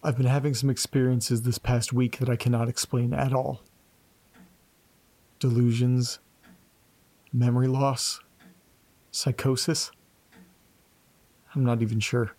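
A man's voice: medium pitch, little pitch movement, measured pace, pauses often, mellow. A man playing an exasperated young investigator in an audio drama, talking casually to himself and reading aloud. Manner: casual, sweary, muttering asides.

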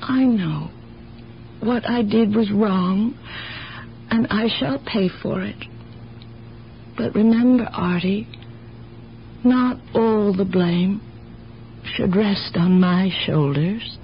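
An actress playing a gentle, anguished older aunt in a 1970s radio drama, pleading and halting as she justifies herself. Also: medium pitch, creaky. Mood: resigned guilt. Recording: dull, noisy 1970s radio recording with hum.

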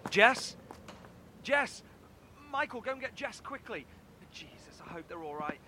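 A high-pitched, sharp-voiced man as a worried friend in a horror drama. He pleads in an agitated, caring way, with faint noise behind. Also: very animated, measured pace, full character voice. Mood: anxious pleading. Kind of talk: horror audio drama acting.

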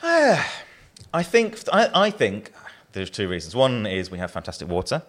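A man's voice: mid-range voice, huge pitch swings, normal speed. A man with a southern English accent, a brewer being interviewed, who talks in a rambling way with many restarts. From southern England.

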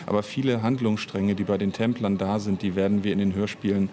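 A man with a fairly low voice talks as an interview guest about his creative work as author and director. He speaks off the cuff, reflectively, often hesitating to find the right word. There is noticeable noise behind him. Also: little pitch movement, a little fast, warm tone. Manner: hesitant, reflective, searching for words. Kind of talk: unscripted interview conversation.

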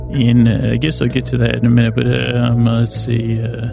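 A man's voice: medium pitch, monotone, fairly quick, over music. A man hosting a bedtime podcast in a meandering monologue, stammering and repeating words as he goes. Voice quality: gravelly, creaky. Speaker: podcast host. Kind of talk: rambling bedtime monologue to help listeners sleep.

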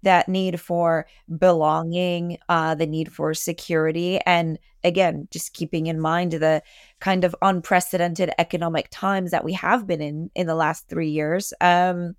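A woman gives expert commentary in a conversation, earnest and emphatic. Her voice is on the low side with plenty of vocal fry, recorded dry, and her wording is articulate. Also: level tone, unhurried, dry recording. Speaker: fintech industry expert guest.

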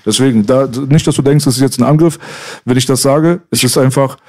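Fast-talking, passionate man. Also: medium pitch, darkish tone. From Iran. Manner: passionate, emphatic, street slang. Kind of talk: casual conversation.

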